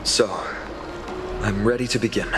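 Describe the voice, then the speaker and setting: Brief: male actor as an earnest young game hero, calm, echoey hall, loud score. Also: on the low side, moderate pace, over music, close to an everyday voice. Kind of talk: game voice acting.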